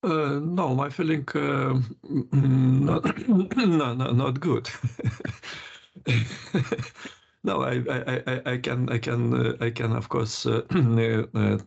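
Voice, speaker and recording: Older man, from Lithuania, husky raspy voice, hesitant restarts, lo-fi sound. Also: mid-range voice, fairly even intonation, a little mellow. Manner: halting, many restarts and laughs. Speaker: interview guest, criminologist. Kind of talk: casual interview.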